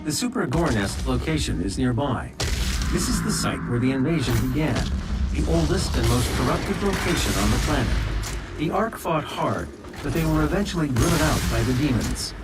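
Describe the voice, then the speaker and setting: A man's voice: medium pitch, expressive, normal speed, natural voice. A man playing a composed machine intelligence in a video game, giving formal, precise mission briefings. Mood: informative. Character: composed male machine intelligence guiding a soldier. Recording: distant sound, loud music behind.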